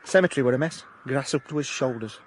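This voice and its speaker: An actor playing a hapless, earnest South Yorkshire ex-miner turned private detective in a comic radio drama, blunt and dry. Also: male voice, mid-range voice, fast talker, husky, lightly acted. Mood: wry, reflective. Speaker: private investigator.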